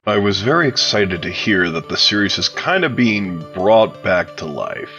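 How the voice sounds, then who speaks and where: A man giving measured, articulate, explanatory answers as an interview guest, sounding prepared and read out. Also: low-pitched, very animated, sharp tone, muffled recording, soft background music. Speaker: interview guest, game modder.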